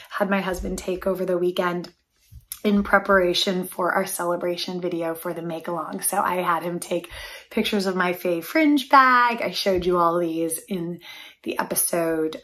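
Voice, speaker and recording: A woman's voice: fairly low pitch, fairly bright tone. A woman in her forties chatting casually about her knitting projects, upbeat and thinking aloud, with frequent laughs. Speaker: knitwear designer vlogger. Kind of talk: casual show-and-tell chat. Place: sunny room by a window.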